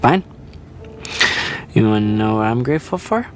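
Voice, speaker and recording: A man's voice: on the low side, expressive, normal speed, a little mellow, faint music behind, close to an everyday voice. A man voicing an earnest, goofy young actor in an audio drama, in a playful, sing-song way.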